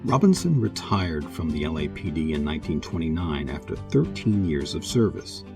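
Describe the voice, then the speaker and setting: A man narrating a scripted documentary in a measured way, with dramatic pauses and emphasis. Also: rumbling low, very animated, vocal fry. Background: music.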